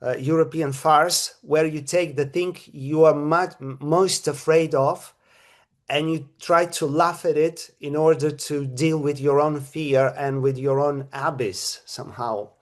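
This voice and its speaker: A man's voice: fairly high pitch, steady tone, unhurried. A middle-aged man, speaking at a press conference as a theatre director, who stops and restarts often and repeats his words. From Bulgaria. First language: Bulgarian.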